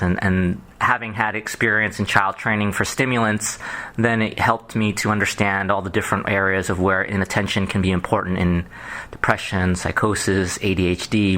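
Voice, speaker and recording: A man answering as a psychiatrist in a phone job interview, explaining himself in halting, rambling stretches full of clinical terms. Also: on the low side, flat delivery, bright, forceful tone. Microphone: telephone.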